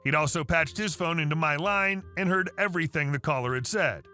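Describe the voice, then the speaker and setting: A man reading a first-person story aloud as scripted narration, with a steady read-aloud delivery. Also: a little high, a little fast. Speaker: narrator of online stories. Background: faint background music.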